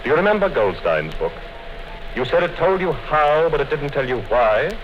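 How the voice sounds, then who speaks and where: An actor in a 1950s radio play playing a calm, coldly menacing male interrogator who speaks in a measured way. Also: fairly high pitch. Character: cold, patient male party interrogator, middle-aged. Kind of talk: 1950s radio drama acting. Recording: dull 1950s radio broadcast with hiss.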